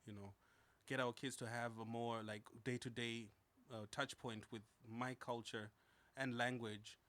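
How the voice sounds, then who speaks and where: Man in his 30s, native Shona speaker, podcast host, bright tone, rambling with lots of fillers. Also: mid-range voice, flat delivery, a little slow. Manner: rambling, many fillers and restarts, laughs easily. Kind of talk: casual podcast chat.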